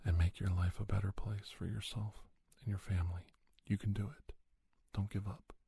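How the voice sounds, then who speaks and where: A middle-aged man giving soft-spoken, encouraging, folksy advice in an ASMR role-play, often slipping into a whisper. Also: low-pitched, little pitch movement, moderate pace, vocal fry, breathy edge, no echo. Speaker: podcast host playing an advice-giving doctor. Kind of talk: ASMR, one-sided role-play conversation.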